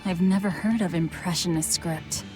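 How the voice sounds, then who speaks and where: A woman's voice: lowish voice, fairly even intonation, normal speed, over music, lightly acted, rough recording. A woman playing a cold, pragmatic, guarded young female fighter in dubbed game dialogue, terse and detached. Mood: reserved, curious.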